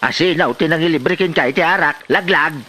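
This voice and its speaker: A man's voice: on the high side, a little fast, pushed and bright. A man playing a disapproving village elder who scolds and lectures in a comedy drama. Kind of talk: Ilocano comedy drama acting.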